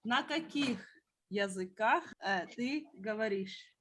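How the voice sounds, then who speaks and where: A woman's voice: mid-range voice, expressive, slow pace, fairly bright tone. A woman teaching a beginner language class, patiently explaining words one at a time.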